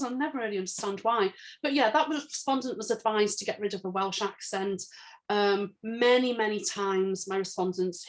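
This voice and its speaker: A woman's voice: fairly high pitch, low-quality recording. A woman from England, an author talking candidly about her research in a conversational interview, with rising emphasis when indignant.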